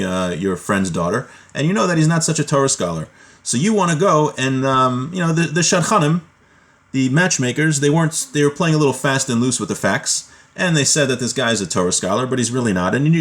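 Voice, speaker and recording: A man with a mid-range voice gives an informal religious lesson. He talks in an explanatory, conversational way, as a teacher does when walking students through a legal text. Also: mid-range voice. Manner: explanatory, conversational, often checking with "right?". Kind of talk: informal lecture, reading Hebrew text aloud and explaining it.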